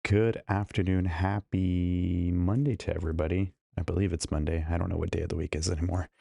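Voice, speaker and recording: A man's voice: deep voice, flat delivery, brisk, vocal fry, dark tone, no echo. A man hosting a casual live stream from a hotel room while losing his voice, chatting and greeting viewers one by one through a studio microphone. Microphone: studio microphone plugged into a laptop.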